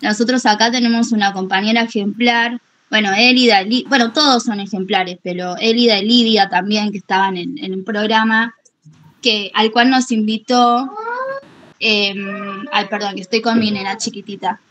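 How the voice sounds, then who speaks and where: A woman's voice: mid-range voice, steady tone, normal speed, fairly bright tone. An Argentine woman speaks up in a casual group chat. She is polite and somewhat hesitant, feeling for her words, with a mid-range voice. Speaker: workshop participant. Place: online group call.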